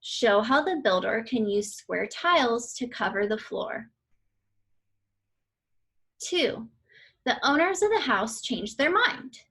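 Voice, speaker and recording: Woman, higher voice, very slow pace, children's read-aloud, deliberate instructional delivery. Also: big pitch swings, a bit bright, breathy edge.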